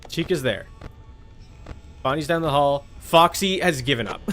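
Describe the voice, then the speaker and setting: A man's voice: on the high side, lively intonation, normal speed, fairly bright tone, soft background music. A man livestreaming a video game with casual commentary, muttering to himself, repeating phrases and chatting with viewers. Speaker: livestreamer playing a horror game.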